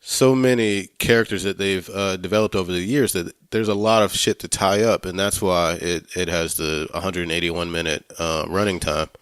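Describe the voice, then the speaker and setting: A man hosting a casual film podcast, talking in a halting way, searching for words and swearing freely. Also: deep voice, fairly even intonation, fairly bright tone, clean tone, dry recording. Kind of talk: casual movie review chat.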